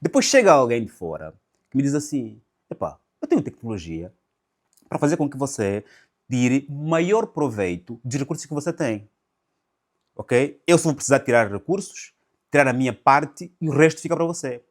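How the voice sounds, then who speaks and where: A man's voice: medium pitch, sing-song, normal speed. Man giving a didactic commentary. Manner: didactic, poses rhetorical questions and checks in with "ok?". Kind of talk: explanatory video commentary.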